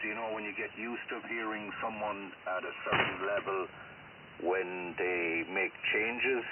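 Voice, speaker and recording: A man's voice: sits low, recorded from a distance. A man chatting casually over amateur radio, rambling and hesitant, a little self-deprecating. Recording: muffled narrowband shortwave radio with loud hiss. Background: radio hiss.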